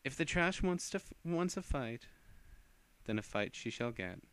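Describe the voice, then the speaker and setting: A man acts out a proud vampire noblewoman for a fantasy story reading. The voice is pushed high and stays clear. She is imperious and boastful, quick to take offense. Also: very animated, a bit bright. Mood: haughty, indignant. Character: haughty, furious royal vampire woman, easily wounded.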